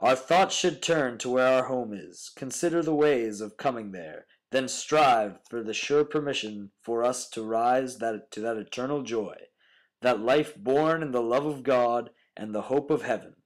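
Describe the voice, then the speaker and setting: A man's voice: medium pitch, a little slow. A young man with a mid-range voice recites verse. He is a student reader delivering a scripted poem. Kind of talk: poetry recitation.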